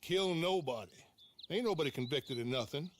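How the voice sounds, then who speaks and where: An actor playing a folksy, apologetic sheriff with an American Western drawl in a game cutscene. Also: male voice, on the high side, lively intonation, moderate pace, slight background noise, close to an everyday voice. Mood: reluctant. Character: reluctant, apologetic Old West sheriff. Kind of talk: video game cutscene acting.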